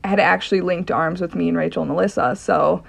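A woman's voice: on the low side, fairly even intonation, brisk, gravelly, slightly rough. A young American woman casually recounting a shared experience. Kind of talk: casual recollection.

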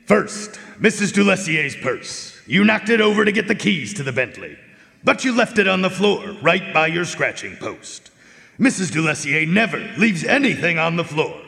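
A man's voice: a little high, sing-song, normal speed, creaky, bright, forceful tone, heavily acted. A man playing a shrewd, teasing dog detective with a knowing, hard-boiled manner in a live radio drama. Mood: wry, confident. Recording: echoey concert hall.

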